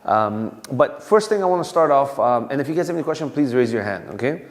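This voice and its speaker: A man's voice: medium pitch, lively intonation, fast talker. A man in his thirties from Afghanistan, a police officer giving an informal community talk in a conversational way with many fillers and restarts. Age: 30s. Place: community hall. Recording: long hall reverb.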